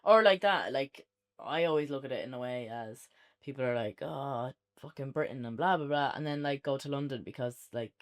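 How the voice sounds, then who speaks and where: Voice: medium pitch, fairly quick. An Irish reviewer chatting casually and unscripted, rambling along with plenty of "like" thrown in. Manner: rambling, heavy use of "like". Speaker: podcast reviewer.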